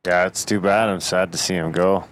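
A man co-hosts a podcast with easygoing, friendly banter. His voice sits low. A faint hum of noise runs behind him. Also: steady tone, moderate pace. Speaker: podcast co-host. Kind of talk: casual podcast chat.

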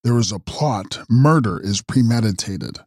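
A man voicing a mysterious, ageless male count who makes grave, measured prophecies in an audiobook. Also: lowish voice, animated, a little mellow, no echo, studio quality. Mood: foreboding. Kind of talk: audiobook character reading.

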